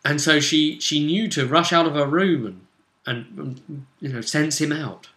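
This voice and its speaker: Male game master, higher-pitched and lively, casual storytelling, roomy echo. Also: measured pace. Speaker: game master recapping a role-play session. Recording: roomy, echoing home recording.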